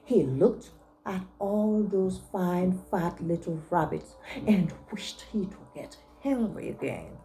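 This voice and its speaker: A woman reading a picture book aloud to young children as a teacher, pausing to check in with her listeners. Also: on the low side, expressive, warm tone.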